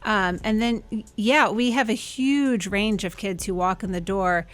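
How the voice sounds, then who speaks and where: A woman who runs a nonprofit, answering interview questions in a clear, friendly, step-by-step way. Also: medium pitch, unhurried. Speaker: executive director of a nonprofit.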